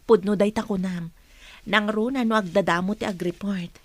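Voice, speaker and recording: A woman's voice: mid-range voice, steady tone, normal speed, no echo, close to an everyday voice. Actress as a dry-sounding, businesslike senior office woman. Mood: cautioning, supportive. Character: businesslike, supportive female senior office colleague. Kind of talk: Filipino radio drama acting.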